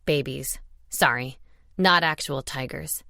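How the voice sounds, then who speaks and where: A gentle, devoted man speaks softly and reassuringly as a woman narrator puts him on. The pitch is dropped well below a usual female voice, and the sound is very dry. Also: slow pace, gravelly, dry recording, exaggerated voice. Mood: gentle, serious. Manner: soft-spoken, brief, reassuring. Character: gentle, devoted man, romance love interest. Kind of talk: audiobook character dialogue.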